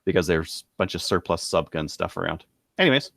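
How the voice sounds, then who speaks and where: A man co-hosting a podcast, casually running through products in a halting, thinking-aloud way. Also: low voice, expressive, vocal fry, a bit bright, dry recording, studio quality. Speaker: podcast co-host presenting gun deals.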